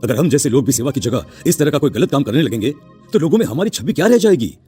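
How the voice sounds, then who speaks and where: A man's voice: medium pitch, lively intonation, fast, mellow, faint music behind, close to an everyday voice. A man voicing a stern, grave church Father in a cartoon drama, solemn and fatherly. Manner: solemn, authoritative. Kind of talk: Hindi cartoon drama voice acting.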